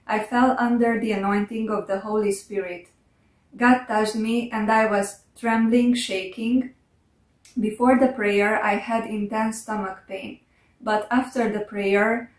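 Woman from romania telling her story nice and steady, echoey room, mic kinda far. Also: mid-range voice. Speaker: testimony giver. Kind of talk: calm video testimony. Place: living room couch. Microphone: camera a few steps away.

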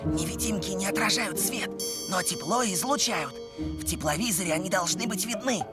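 A woman playing an earnest, clever schoolboy hero in an animated cartoon, explaining things seriously. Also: medium pitch, moderate pace, natural voice. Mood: eager. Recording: loud cartoon music underneath.

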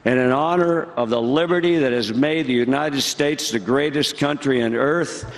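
A man's voice: medium pitch, moderate pace. A man announcing his delegation's votes in a proud, declamatory roll-call speech. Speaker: state delegation spokesman. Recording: convention floor crowd.

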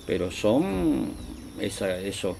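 A man's voice: lowish voice, sing-song, slow pace, vocal fry, dark tone. An Argentine man recalling things casually, rambling and correcting himself as he goes. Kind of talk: casual recollection. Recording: faintly noisy outdoor field recording.

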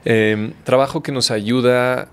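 A man in his forties with a Mexican accent, a doctor guest on a podcast, speaking in a calm, reflective way in a studio. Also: mid-range voice, slow pace, clean tone. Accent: Mexican Spanish. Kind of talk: casual interview. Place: dark studio set, indoors. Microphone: studio mic on boom arm.

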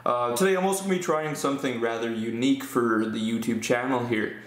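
A man at a table casually hosts his card-opening channel, talking in a mid-pitched, easygoing way. He drifts from plain chatter into excited bursts over good pulls. His voice bounces around a roomy, echoing space. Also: fairly quick. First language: English. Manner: chatty, upbeat, with fillers and excited exclamations. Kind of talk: casual hobby commentary. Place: indoor room at a tabletop.

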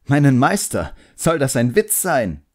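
A man's voice: medium pitch, sing-song, a little slow, pitched above the performer's own voice. A man voicing a proud, sullen young boy apprentice magician in an audiobook, defiant and muttering.

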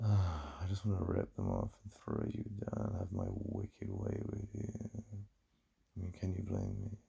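A British man playing a besotted, yearning boyfriend in an intimate ASMR roleplay, confiding in a breathy murmur. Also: big pitch swings, measured pace, mellow, dull audio, heavily acted. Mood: desirous. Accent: British English. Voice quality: deep, breathy, gravelly.

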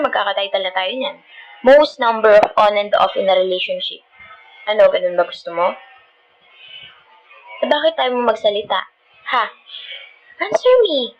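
A woman's voice: a little high, expressive, a little fast, long pauses, muffled recording, soft background music. A woman with a Filipino accent playing a feisty, dramatic but loving girlfriend in an ASMR roleplay, sassy and mixing two languages as she talks. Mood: exasperated, scolding. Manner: sassy, scolding, switching between Tagalog and English.

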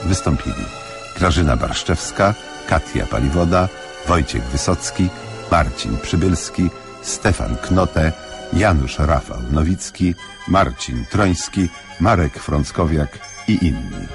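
A man's voice: extremely low pitch, lively intonation, takes it slowly, gravelly. A man reading closing credits as a scripted radio announcement. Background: music.

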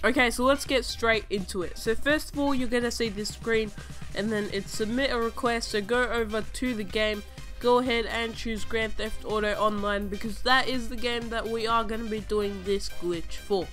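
A woman's voice: fairly high pitch, steady tone, moderate pace, a bit bright, soft background music. A young woman hosting a gaming channel, stuffy from a cold, chattily walking viewers through a game tutorial step by step in a casual voice-over. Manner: chatty, repeating instructions step by step. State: sick with a cold, stuffy-nosed. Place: home voice-over over gameplay footage.

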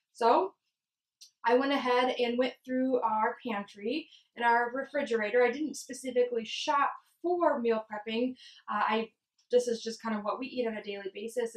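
A young woman talking in a chatty, informal way, giving a casual how-to vlog in her kitchen. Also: fairly high pitch. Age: young adult. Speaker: vlogger and fitness coach. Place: home kitchen, indoors. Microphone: camera across the counter. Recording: echoey kitchen, away from mic.

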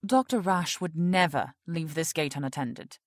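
An actress playing a prim, rule-bound research project director in an audio drama, formal and officious. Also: female voice, low voice, moderate pace, no echo, studio quality. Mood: officious, defensive.

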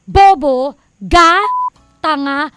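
High-voiced teasing Filipina radio host. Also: female voice, lively intonation, extremely slow, a bit bright, no room sound. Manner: preachy and teasing, quotes scripture, laughs often. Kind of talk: playful radio advice talk. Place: radio studio.

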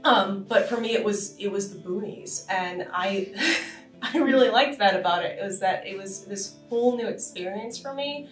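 A young woman from Boston on a casual podcast, animated and laughing often as she talks. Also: medium pitch, normal speed, faint music behind. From Boston, USA. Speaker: podcast co-host. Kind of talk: casual chat. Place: conference room, indoors. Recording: roomy sound from a distant mic.

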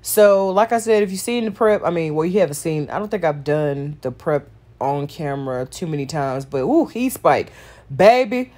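A woman's voice: deep voice, normal speed, dark tone. A woman with a Texan accent, chatting casually to viewers as she narrates a nail tutorial. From Texas. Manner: chatty, talks to viewers as y'all and sis.

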